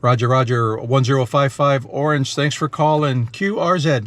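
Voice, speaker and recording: A man's voice: mid-range voice, fairly even intonation, normal speed, very noisy. A man casually narrating as an amateur radio operator, switching between explanation and phonetically spelled contest calls, recorded inside a car. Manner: spells out call signs and numbers in phonetic alphabet.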